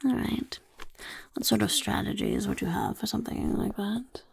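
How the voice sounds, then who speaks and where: A woman voicing a scheming, self-important goblin boss in a soft-spoken ASMR roleplay, raspy and half-whispered, muttering like an interviewer. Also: mid-range voice, moderate pace. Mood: probing, sly. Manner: speaks of self in third person, muttering, chaotic.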